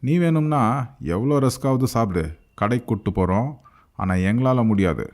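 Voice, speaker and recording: A man playing a chubby, sensible schoolboy in a cartoon, cautious and quick to retort. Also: mid-range voice, lively intonation, darkish tone, lightly acted.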